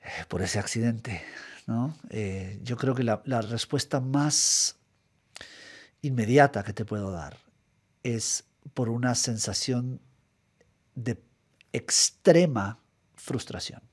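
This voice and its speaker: A man's voice: mid-range voice, slow, long pauses, husky. A middle-aged man from Guatemala, a writer giving a thoughtful, methodical radio interview in a studio, often repeating words as he searches for them. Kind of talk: radio interview, reflective answers. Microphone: studio microphone.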